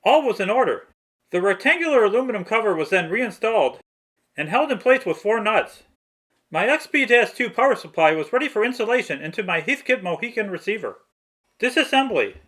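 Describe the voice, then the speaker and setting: Guy doing scripted how-to narration, pretty high voice for a man, pitch moves around a lot. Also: very animated, a little fast, fairly bright tone. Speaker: narrator of a restoration tutorial. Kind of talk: scripted narration, step-by-step instruction.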